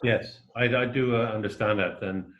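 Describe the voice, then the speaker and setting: A man's voice: medium pitch, fairly even intonation, moderate pace, lo-fi sound, background noise. A man in a work meeting on a conference call, explaining things hesitantly and repeating words as he goes. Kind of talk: meeting discussion.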